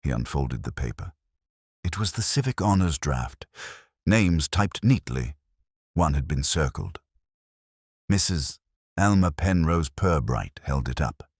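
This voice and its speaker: A man narrates scripted fiction in a very deep, slightly rough voice. His intonation rises and falls freely, and the recording is close and dry. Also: animated, measured pace, long pauses, no room sound.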